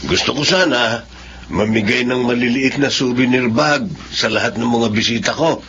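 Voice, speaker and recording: A man's voice: mid-range voice, moderate pace, natural voice. A man playing a generous, confident Filipino office boss and customer in a radio drama. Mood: businesslike. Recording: dull audio with clear background noise.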